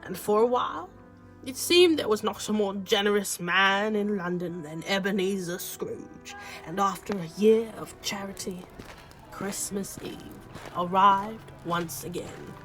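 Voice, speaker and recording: Slow, dramatic woman as storybook narrator. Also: mid-range voice, a bit bright, soft background music, poor audio. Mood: droll storytelling. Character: storyteller narrator posing as Charles Dickens, droll and grand.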